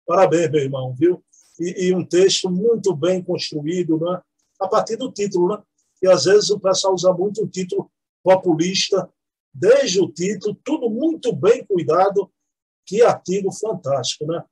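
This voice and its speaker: A man with a Northeastern Brazilian accent co-hosts a casual talk, his voice set fairly high and a little husky. He heaps praise on what he discusses and keeps tossing in little tag questions. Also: moderate pace, rough recording. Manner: warm effusive praise, frequent "né?" asides. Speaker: co-host. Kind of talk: casual chat.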